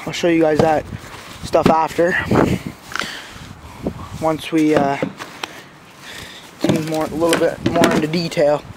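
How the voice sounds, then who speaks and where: A man giving a casual, unscripted walkthrough as an amateur reviewer, talking in a halting, informal way outdoors on a handheld phone. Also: a little high, level tone. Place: outdoors in a backyard. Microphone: handheld phone camera. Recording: outdoor phone recording with clear background noise. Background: passing cars.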